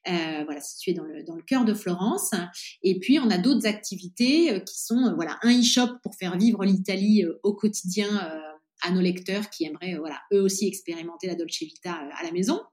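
A woman's voice: lowish voice, a little fast, a little mellow. Chatty French woman in her thirties. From France. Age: 30s. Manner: hesitant, many fillers and restarts. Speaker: podcast guest, entrepreneur. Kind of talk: casual interview chat.

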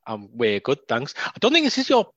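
A man's voice: mid-range voice, huge pitch swings, a little fast, a bit bright, no echo, muffled recording. A man hosting a football podcast in casual chat, talking loosely and laughing often. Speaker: podcast host.

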